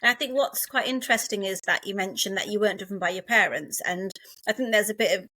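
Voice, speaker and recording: A woman's voice: medium pitch, fast talker, pushed and bright. A woman hosting a podcast, asking long, meandering questions full of restarts. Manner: rambling questions with many restarts, frequent "mm-hmm". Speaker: podcast host. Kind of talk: interviewer conversation.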